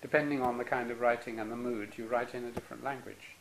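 A male interviewer asking questions politely and hesitantly, with many ums and soft acknowledgements. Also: mid-range voice, fairly even intonation, fast, vocal fry, a little hiss or hum, low-quality recording. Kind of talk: oral history interview.

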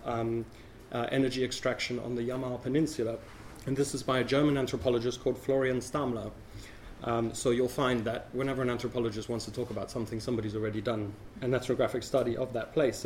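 Man w a bit of a crackly voice from a cold, lecturing calmly at a panel, faint hiss behind. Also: medium pitch. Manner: measured, explanatory lecturing. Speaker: panel discussant, anthropologist. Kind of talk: academic panel talk.

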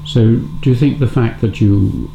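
A man interviewing, asking gentle, probing questions. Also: medium pitch, normal speed, creaky, roomy sound, highs cut off, background noise. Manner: gentle, probing questions with soft backchannel murmurs.

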